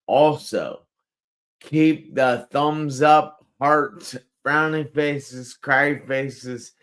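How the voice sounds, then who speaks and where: A man hosting a live online talk show, speaking slowly and emphatically and repeating words for effect. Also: on the high side, steady tone, fairly bright tone. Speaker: host. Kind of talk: live-stream show host.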